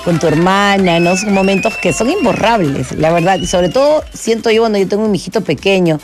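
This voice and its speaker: A woman's voice: mid-range voice, lively intonation, fairly quick, a little hiss or hum. Effusive Peruvian woman hosting radio. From Peru. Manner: effusive, affectionate. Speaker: radio host. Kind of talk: live radio chat and interview.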